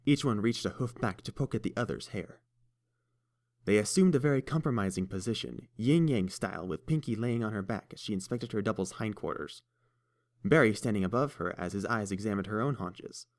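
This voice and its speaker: Low-voiced male audiobook narrator. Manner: steady, measured storytelling. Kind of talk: scripted fan fiction narration.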